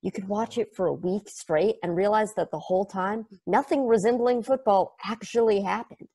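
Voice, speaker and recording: Woman as an earnest superfan explaining a game, a bit of fry in the voice, a stumbling, devoted lecture. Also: medium pitch, moderate pace, mellow. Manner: earnest, rambling, self-correcting. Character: eager, nerdy fan explaining a strange game. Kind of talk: table read of a stage play. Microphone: video call.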